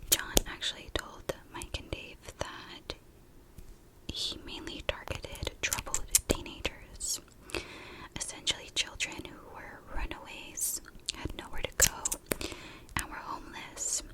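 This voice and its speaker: A woman whispering a true crime story in a soft, breathy ASMR style, unhurried and informal, like chatting to viewers. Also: poor audio. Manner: unhurried, informal, conversational narration.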